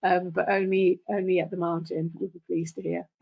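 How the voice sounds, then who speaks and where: A middle-aged or older woman talking casually and reflectively in halting phrases, as a guest reader. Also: fairly low pitch, flat delivery, vocal fry, darkish tone, husky, low-quality recording. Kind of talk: casual conversational discussion.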